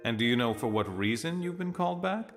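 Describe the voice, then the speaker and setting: An actor playing a stern, weary military commander in a video game, speaking in a formal, commanding, clipped way. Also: male voice, mid-range voice, expressive, brisk, lightly acted. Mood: suspicious, dry. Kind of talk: scripted video game acting. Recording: game music behind the voice. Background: music.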